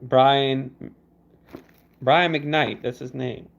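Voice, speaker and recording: A man's voice: mid-range voice, steady tone, very slow, creaky, slight background noise. A young man on a casual livestream, laid-back and teasing, laughing as he answers viewer comments. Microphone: phone. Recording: dull lo-fi phone audio.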